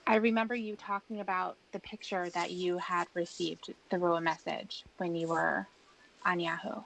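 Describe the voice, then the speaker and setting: A woman conducting a probing interview as an interrogator, measured, skeptical and pressing. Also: lowish voice, fairly even intonation, creaky, a bit bright, soft background music. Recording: noisy room recording.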